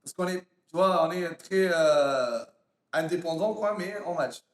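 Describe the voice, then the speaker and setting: High-voiced man with a slight Arabic accent. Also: measured pace, reverberant. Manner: playful, goofy, joking. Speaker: co-streamer. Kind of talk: casual livestream banter.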